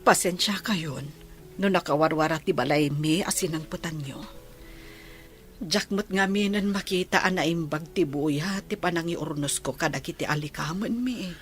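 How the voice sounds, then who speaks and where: A woman playing a poor, blind, long-suffering mother in a radio drama, speaking softly and with resignation. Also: sits low, normal speed, faint music behind, close to an everyday voice. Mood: resigned. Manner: soft, resigned, apologetic.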